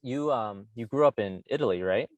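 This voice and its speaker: A man hosting a podcast, talking in a laid-back, halting way, repeating words and saying "man" and "you know.". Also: medium pitch, lively intonation, darkish tone, no echo, dull audio. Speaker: podcast host. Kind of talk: casual chat.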